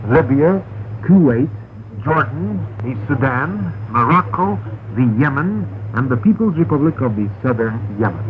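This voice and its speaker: Man reading news over tinny shortwave radio. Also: medium pitch. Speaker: radio newsreader. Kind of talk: formal scripted news bulletin reading. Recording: noisy narrow-band shortwave radio recording.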